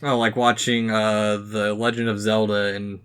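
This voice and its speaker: A man's voice: lowish voice, flat delivery, unhurried, a bit bright, smooth. A man co-hosting a podcast, talking in a casual conversational style. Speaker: podcast co-host. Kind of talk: casual chat.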